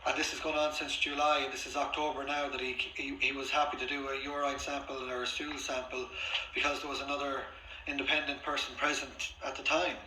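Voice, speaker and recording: Insistent man, sharp and forceful. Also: medium pitch, little pitch movement, fairly quick. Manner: insistent, repetitive, complaining. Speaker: patient on a phone call. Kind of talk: phone conversation, arguing his case. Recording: echoey, faintly noisy room, recorded phone call.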